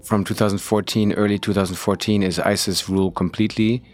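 A man's voice: lowish voice, steady tone, fairly quick, soft background music. A male podcast host interviewing guests and narrating scripted passages, asking plain, direct questions.

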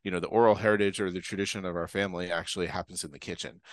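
A man's voice: sits low, steady tone, very fast, no room sound. A man from Boston, a chef guest talking casually and enthusiastically, rambling with restarts and fillers. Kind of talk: casual interview chat.

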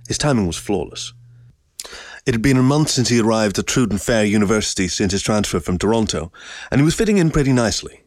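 Male narrator, fairly low pitch, dry close sound, scripted story reading. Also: lively intonation, quick pace, a little mellow, no room sound. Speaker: audiobook narrator.

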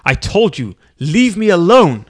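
A man voicing a gruff, bitter blind old man who growls and answers curtly. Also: medium pitch, unhurried, no echo, clean studio sound, natural voice. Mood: dismissive. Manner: growling, curt.

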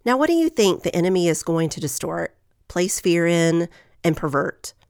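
A woman hosts a faith podcast in an earnest, encouraging way, her voice nasal from a lingering head cold. There is a gravelly rasp under her somewhat low voice. The recording is close and dry, with no room sound. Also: sing-song, moderate pace, darkish tone, dry recording. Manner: earnest, encouraging, reads scripture aloud. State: getting over a head cold. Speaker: podcast host.

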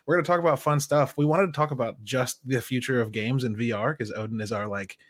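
Dry-miked joking gaming podcast host. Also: male voice, mid-range voice, dry recording. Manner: joking, quick banter. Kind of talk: casual gaming podcast chat.

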